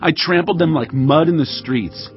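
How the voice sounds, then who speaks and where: A man's voice: a little high, normal speed, close to an everyday voice. An actor playing a devout warrior king, reciting a psalm of praise in a solemn, fervent, declamatory manner. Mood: triumphant. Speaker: Bible voice actor. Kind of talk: dramatized scripture reading. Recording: dull audio with music behind.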